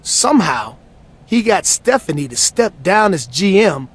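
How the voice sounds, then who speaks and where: High-voiced, feisty wrestler buddy. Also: male voice, very animated, measured pace, husky, exaggerated voice. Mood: indignant, friendly. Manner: slangy, familiar. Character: feisty, loyal male wrestler friend. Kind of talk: video game cutscene acting.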